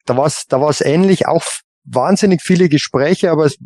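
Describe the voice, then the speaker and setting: Slightly high-voiced man chatting, halting. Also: a little mellow, no echo. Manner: halting, repeats words mid-sentence. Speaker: podcast co-host. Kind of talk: casual chat.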